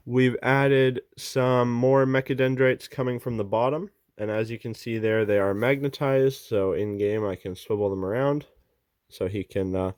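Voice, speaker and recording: A man's voice: mid-range voice, darkish tone, smooth, no room sound. A man hosting his hobby channel, walking through a finished model in a rambling, enthusiastic way with many fillers, a little sick and losing his voice. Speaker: miniature hobby channel host. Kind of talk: casual show-and-tell walkthrough.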